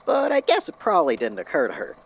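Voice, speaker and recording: An actor playing an eccentric, courteous, musing storyteller with a folksy, wordy, theatrical manner in an audio drama. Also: male voice, a little high, huge pitch swings, quick pace, exaggerated voice. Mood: affable, chatty. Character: eccentric, courteous, philosophical storyteller man from Tennessee. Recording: dull lo-fi audio with clear noise.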